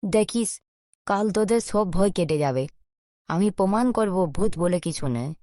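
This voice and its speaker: A woman's voice: mid-range voice, lively intonation, moderate pace, mellow, no echo, studio quality, natural voice. A woman voicing a bold, skeptical village boy in a cartoon, with a defiant, cocky manner.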